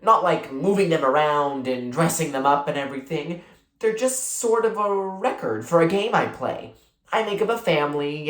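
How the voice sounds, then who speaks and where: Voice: medium pitch, lightly acted. A reader voicing a shy, imaginative sixth-grade girl from a children's storybook, hesitant and earnest. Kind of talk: children's storybook character read aloud. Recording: roomy, echoing sound.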